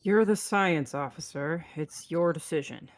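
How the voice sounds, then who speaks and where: A woman's voice: low voice, measured pace. A woman playing a weary, matter-of-fact spaceship captain with a terse, resigned delivery in a sci-fi table read.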